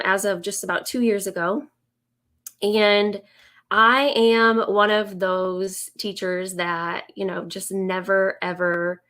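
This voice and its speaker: A woman in her thirties, raspy from a summer cold, talking slowly and warmly to viewers on a live stream as a business coach, with many ums. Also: mid-range voice. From Indiana, US. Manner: slow, friendly, many ums.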